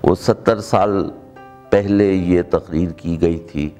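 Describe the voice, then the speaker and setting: A middle-aged man with a Pakistani accent speaks in a deep register at a slow, deliberate pace. He presents formally, with the measured phrasing of an orator, over faint music. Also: fairly even intonation, warm tone. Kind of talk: introduction and formal recitation of a written speech.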